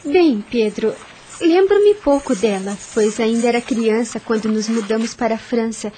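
An actress playing a brave, gentle young woman in a radio drama, earnest. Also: on the high side, normal speed, a little hiss or hum, lightly acted. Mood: earnest, reassuring.

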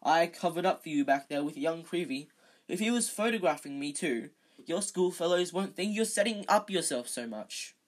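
A woman voicing a vain, jovial male teacher in a storybook, booming and self-satisfied. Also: expressive, moderate pace. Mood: paternal, smug.

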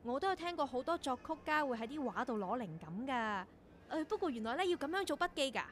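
An actress in a Cantonese radio drama playing a naive, eager young radio intern, earnest and chatty, with a Hong Kong accent. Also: female voice, high voice, expressive, fast, slight background noise. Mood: bright, curious.

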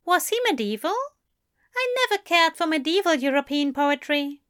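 A woman voicing a soft-spoken, adoring male angel in a read-aloud story, his voice high, smooth and warm. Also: big pitch swings, normal speed. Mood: puzzled.

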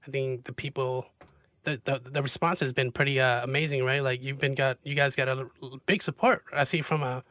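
A man's voice: mid-range voice, fairly even intonation, brisk, a bit bright, dry recording, studio quality. A man hosting an interview, asking friendly, enthusiastic questions with frequent uhs. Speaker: interviewer, host. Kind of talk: casual interview.

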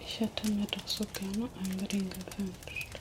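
A woman voicing a teasing, predatory female vampire kidnapper in an ASMR roleplay, whispering softly and flirting close to the mic. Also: medium pitch, level tone, measured pace, very noisy, close to an everyday voice. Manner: soft whispering, playful and flirtatious. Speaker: ASMR creator.

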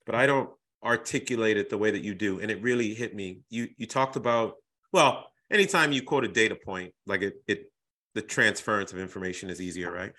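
Bright-voiced man hosting a podcast. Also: fairly low pitch. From Boston, USA. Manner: halting, repeats words while searching for phrasing. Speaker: podcast host. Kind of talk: casual interview chat.

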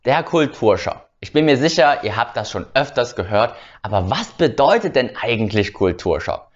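A man's voice: mid-range voice, sing-song, normal speed. A young man from Germany hosting his own channel, telling a personal story casually and hesitantly, with frequent filler sounds and restarts. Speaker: channel host. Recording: slightly dull lo-fi sound.

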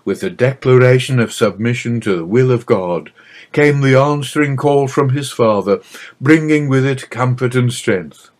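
A man reading a scripted religious narrative aloud in a solemn, measured and expressive manner. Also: mid-range voice. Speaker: reader of a religious book.

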